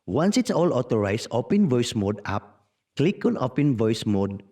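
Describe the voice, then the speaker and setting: A man narrating a casual step-by-step software tutorial in an instructional way, with non-native-sounding phrasing. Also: mid-range voice, big pitch swings, unhurried. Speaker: tutorial host.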